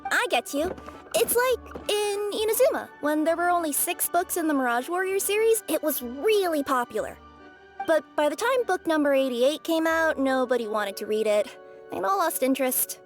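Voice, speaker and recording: Casting: youthful game adventurer girl, really high bright-spirited lilt, casual and breezy. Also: expressive, moderate pace, faint music behind. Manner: casual, chatty. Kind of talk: video game voice acting.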